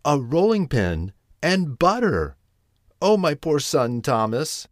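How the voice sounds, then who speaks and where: A man's voice: on the high side, sing-song, unhurried, no echo, clean studio sound, pitched above the performer's own voice. A man voicing an anxious, tearful mother cat in a children's storybook, fretful and lamenting. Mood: wailing.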